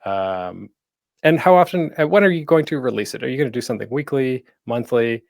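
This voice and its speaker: Male panel host, very animated pitch swings, informal presenter style with self-deprecating asides. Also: fairly quick, vocal fry, recorded from a distance.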